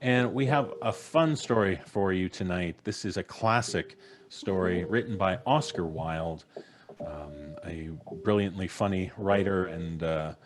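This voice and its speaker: A man's voice: low voice, measured pace, background noise. A middle-aged man, casually hosting a live podcast show from a home studio. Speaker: podcast host. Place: home studio, video call. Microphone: microphone on boom arm, headphones.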